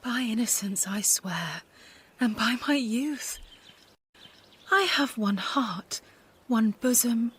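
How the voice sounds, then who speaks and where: Breathy, earnest disguised youth. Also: female voice, high voice, full character voice. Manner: earnest, firm. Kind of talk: Shakespeare radio drama acting, verse.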